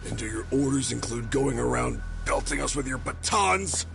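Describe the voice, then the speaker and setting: An actor playing a gruff police detective in a game drama, informal, blunt and sardonic. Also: male voice, medium pitch, lively intonation, normal speed, over music. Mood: irritated. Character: gruff, easygoing but irritated male police detective. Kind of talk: dramatic video game cutscene acting.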